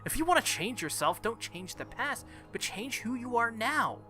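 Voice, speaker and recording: A man's voice: very high voice, big pitch swings, normal speed, sharp tone, faint music behind, voice pushed up, exaggerated voice. A man voicing a stubborn, protective, hot-headed young man from a visual novel. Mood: angry, urgent.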